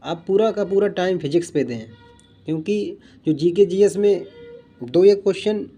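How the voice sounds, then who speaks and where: A man's voice: high-pitched, moderate pace, mellow, faint music behind. A young man giving informal exam strategy advice as a coaching instructor, earnest and repetitive in the way he addresses his listeners.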